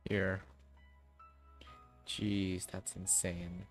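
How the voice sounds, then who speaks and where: Slow, low-voiced man streaming games. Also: level tone. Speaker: game livestreamer. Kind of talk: casual livestream commentary. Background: background music.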